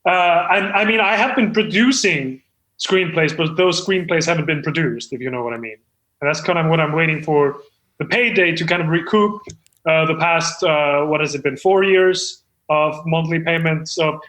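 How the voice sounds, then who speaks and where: A man's voice: on the high side, normal speed, fairly bright tone, natural voice, rough recording. A man playing an eager, insecure amateur screenwriter in improvised comedy, speaking in an earnest, hesitant, rambling way. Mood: polite grievance. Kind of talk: improvised comedy podcast role-play.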